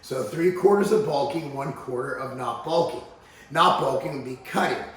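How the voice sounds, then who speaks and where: An American man with a slightly hoarse voice talking casually and emphatically to viewers as a fitness vlog host. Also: medium pitch, moderate pace. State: slightly hoarse, voice recovering from laryngitis. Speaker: fitness channel host and supplement executive. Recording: very echoey, reverberant room.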